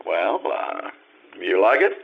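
An actor playing an awkward, wry writer of about thirty in an old-time radio play, hesitant and halting. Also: male voice, deep voice, lively intonation, creaky, husky, full character voice. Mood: sheepish. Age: 30s. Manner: hesitant, halting, bashful drawn-out delivery. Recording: dull lo-fi radio-play recording.

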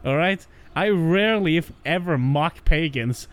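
A man's voice: fairly high pitch, animated, a little slow. A young man co-hosting a podcast, chatting casually with crude jokes and frequent laughs. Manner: crude, joking, laughs often. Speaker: podcast co-host. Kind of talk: casual chat.

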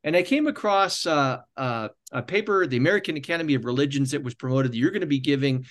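A man's voice: on the high side, quick pace, a bit bright. Rambling podcast host, a man. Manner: rambling, self-correcting setup before questions. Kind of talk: interview questions.

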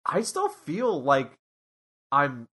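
A man's voice: a little high, huge pitch swings, drawn-out words, sharp tone, slightly rough. A man in his late twenties co-hosting a podcast, talking casually and rambling with many restarts and fillers. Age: 20s. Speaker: podcast co-host. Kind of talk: casual chat.

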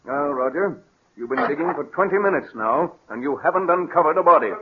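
A man's voice: on the high side, fairly quick, noisy background, natural voice. A male actor playing a guilt-ridden, defiant man in a 1940s radio mystery, speaking in a tense, earnest, dramatic manner. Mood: accusing, skeptical. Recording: dull, lo-fi 1940s radio recording.

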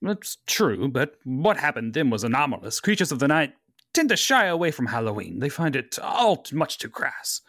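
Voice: mid-range voice, big pitch swings, normal speed, a bit bright, dry recording, studio quality. A performer reading a fussy, formal middle-aged male mentor who stammers awkwardly, in a script table read.

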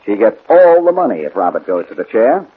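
An actor playing a cocky, brisk, wisecracking American amateur detective in a 1940s radio drama. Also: male voice, fairly low pitch, very animated. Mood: probing. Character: cocky quick-witted male amateur detective, needling the police. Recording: muffled 1940s radio broadcast, noisy.

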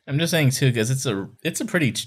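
A man's voice: mid-range voice, lively intonation, quick pace, husky. A man co-hosting a podcast, talking casually and giving his opinions on books in a conversational way. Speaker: podcast co-host. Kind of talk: casual critical book discussion.

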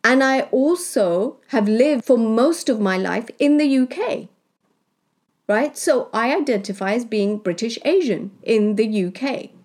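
A woman from Kenya, a spiritual healer, talking in a slow, deliberate monologue that she punctuates with "right?". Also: fairly high pitch, lively intonation, darkish tone. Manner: slow, deliberate, with tag questions. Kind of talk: reflective monologue.

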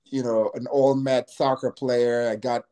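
A man's voice: medium pitch, level tone, a little slow, mellow, clear, resonant tone. Easygoing man from Trinidad. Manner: easygoing, laughs often, fills with you know. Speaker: guest. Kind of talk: casual interview storytelling.

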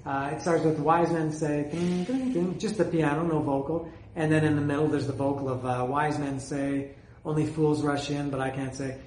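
A man with a slightly high voice talks loosely about his album collection, stumbling and repeating himself as he goes. His voice is wearing thin, and the room around him rings with echo. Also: steady tone, moderate pace, darkish tone, a little hiss or hum. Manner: rambling, hesitant, repeats words. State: losing his voice. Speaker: music collector reviewing albums. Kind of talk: casual solo talk about his collection.

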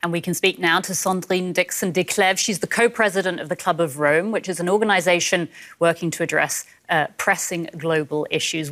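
A woman's voice: lowish voice, a bit bright. A woman news anchor conducting a formal broadcast interview with a guest.

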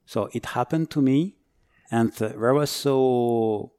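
A man in his forties from France with a French accent, a firefighter guest talking casually, halting and searching for words. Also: mid-range voice, a little mellow, clean tone, no echo. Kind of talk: casual interview chat.

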